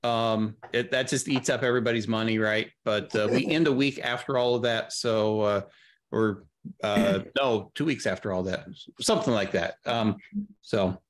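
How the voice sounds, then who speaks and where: A middle-aged man chatting casually as an interview guest on a video call, thinking aloud in a halting way. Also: on the low side, steady tone. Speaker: guest, audio drama creator. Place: small room on a video call.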